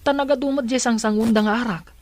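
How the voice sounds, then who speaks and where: A man's voice: very high-pitched, steady tone, fast talker, a little mellow, dry recording. An actor playing a concerned, persistent young male neighbour in a radio drama, with an earnest, insistent delivery.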